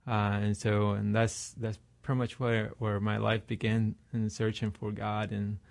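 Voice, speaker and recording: A man from Iraq, a radio interview guest who answers haltingly with many fillers and restarts. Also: lowish voice, flat delivery, creaky, a little mellow. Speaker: guest, ministry leader.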